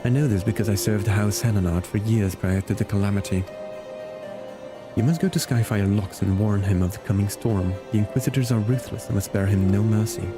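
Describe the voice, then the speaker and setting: A man voicing a secretive male servant of a noble house, with hushed, urgent lines. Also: lowish voice, brisk, over music, natural voice.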